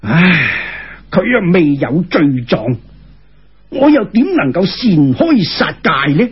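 Man playing a husky, sagely Cantonese Taoist master. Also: on the high side, big pitch swings, dull audio, full character voice. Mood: sighing, reluctant. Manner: measured, sagely, explanatory. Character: wise, composed male Taoist immortal swordmaster.

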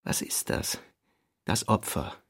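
A man's voice: takes it slowly, fairly bright tone. A man reading literary commentary as a second narrator in a radio drama.

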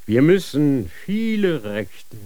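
Radio-drama inquisitor father: slow, sweeping, solemn preacher, dry studio sound. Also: fairly high pitch, very animated, dark tone, no echo. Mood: fanatical. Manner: solemn, preaching, grandly insistent. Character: stern fanatical male church inquisitor, a father.

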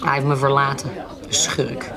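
An actress playing a shrewd, self-possessed adventuress in a Dutch radio drama, guarded and evasive. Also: female voice, extremely low pitch, flat delivery, a little slow, vocal fry, a crowd in the background, full character voice. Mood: cool, teasing.

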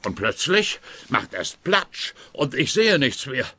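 An actor playing a pompous, vain and indignant client in a radio play, speaking with melodramatic, stilted outrage. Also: male voice, medium pitch, sing-song, takes it slowly, bright, forceful tone, slightly rough, exaggerated voice. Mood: outraged. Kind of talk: radio comedy-crime acting.

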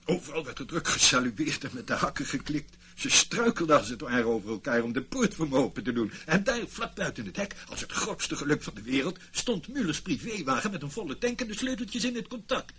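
A man's voice: a little high, big pitch swings, a little fast, few pauses, fairly bright tone. A man playing an evasive, self-justifying British ex-army officer in a Dutch radio play, sometimes boastful, sometimes hesitant and defensive. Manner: boastful storytelling, hesitant and defensive when challenged. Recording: noisy old radio play recording.

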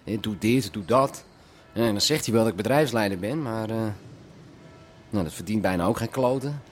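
Halting, whiny young man, played by an actor. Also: lowish voice, slight background noise, lightly acted. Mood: hesitant, aggrieved. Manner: halting, complaining, unsure. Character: hesitant, whiny young adult son of a crime boss. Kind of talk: naturalistic radio drama acting.

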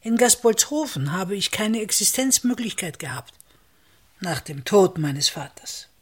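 An actor playing a meek, taciturn village innkeeper in a radio play, giving terse, deferential answers. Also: male voice, high voice, very animated, measured pace, a bit bright, breathy edge, exaggerated voice. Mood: subdued, matter-of-fact.

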